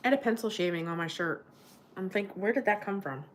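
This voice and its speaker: A woman's voice: animated, fast, slight background noise. A woman from New Jersey with a Jersey accent, casually chatting on a livestream from her apartment desk and reacting to viewer comments, her nose running from a cold. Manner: chatty, rambling, reacts to chat with sudden high exclamations. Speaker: livestream host.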